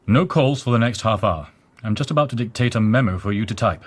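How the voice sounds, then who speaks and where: Arrogant male company boss, husky, lowish pitch, curt, imperious, radio drama. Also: expressive, quick pace. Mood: commanding. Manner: curt, haughty. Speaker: brewery heir and boss.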